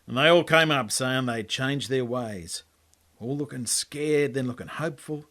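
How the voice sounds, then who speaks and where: A man's voice: mid-range voice, a little slow, fairly bright tone. An Australian man reading his own story as a colloquial, laconic first-person yarn. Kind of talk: storytelling monologue, first-person character narration.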